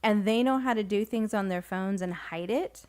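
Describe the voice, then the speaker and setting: A woman in her thirties co-hosts a relaxed podcast. She talks in an easy, chatty way about everyday home life. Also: mid-range voice, moderate pace. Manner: chatty, laughs easily. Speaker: podcast co-host. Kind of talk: casual podcast chat.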